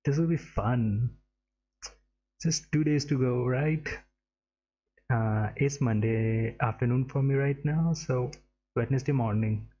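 A man's voice: mid-range voice, slow, pauses often, mellow, muffled recording. A young man from India giving casual reaction commentary as a streamer, on a headset. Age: young adult. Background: game trailer music.

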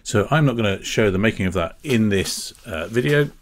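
A man's voice: lowish voice, expressive, moderate pace. A middle-aged man talking casually and methodically through a hands-on repair, step by step, at an indoor workbench. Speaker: repair video host. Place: indoor workshop bench.

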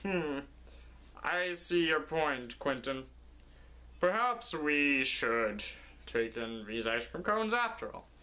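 A young man voicing an odd, hungry shark fisherman who makes ominous, drawn-out pronouncements in a comic story. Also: a little high, very animated, bright, forceful tone, full character voice. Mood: pondering.

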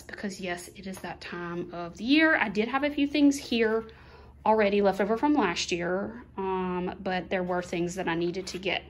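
Middle-aged mom doing a haul at her kitchen table, talks slow and easy, counts stuff out one by one, phone camera. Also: mid-range voice. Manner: unhurried, chatty, listing items one by one. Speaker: vlogger, mother of two. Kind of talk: casual haul chat.

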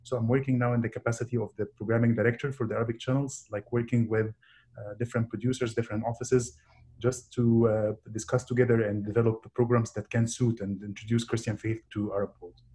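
A man's voice: medium pitch, little pitch movement, fast talker, warm tone. A man from Egypt in his thirties, introducing himself over a video call from a small room, hesitant and full of fillers. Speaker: programming director. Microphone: video call webcam. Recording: faint background noise on a video call.

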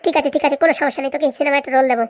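A woman's voice: high-pitched, steady tone, very fast, lightly acted. A woman voicing a greedy, scheming village woman in a cartoon comedy, chatty and bickering in colloquial speech. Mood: greedy plotting.

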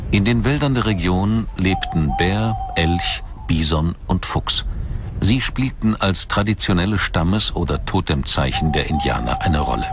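A man reading scripted documentary narration in a measured, articulate way. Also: sits low, noisy background.

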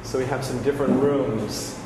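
A man's voice: mid-range voice, fairly even intonation, moderate pace. A man in his forties curating a small gallery, explaining the photos in a loose, enthusiastic way with many fillers, on a lapel mic. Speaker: gallery curator giving a tour. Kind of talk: casual walkthrough explanation. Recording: echoey room with background noise.

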